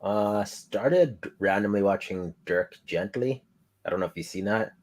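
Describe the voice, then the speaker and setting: Laid-back low-voiced man co-hosting. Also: a little slow, a little mellow. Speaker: podcast co-host. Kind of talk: casual chat.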